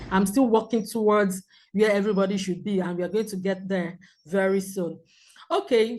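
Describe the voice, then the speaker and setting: A woman counsellor with a loud voice, teaching a group in a clear, measured way with examples. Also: mid-range voice. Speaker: premarital counsellor. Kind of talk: teaching a seminar talk.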